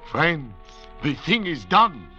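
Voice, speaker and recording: Muffled old radio drama, scheming gentleman convict doctor, eloquent, theatrical, condescending, animated pitch. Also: slow pace, faint music behind, heavily acted. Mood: smug, triumphant. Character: suave, grandiloquent French convict doctor, cool scheming leader. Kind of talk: 1940s-50s radio drama acting. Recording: dull old radio recording, faint hiss.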